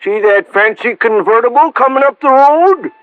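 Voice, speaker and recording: A folksy old Oklahoma country fellow with an extremely high voice. He drawls loyally through a radio drama, sounding thin as if down a phone line. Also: lively intonation, moderate pace, dry recording. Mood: earnest. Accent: rural Oklahoma. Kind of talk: 1950s radio drama acting.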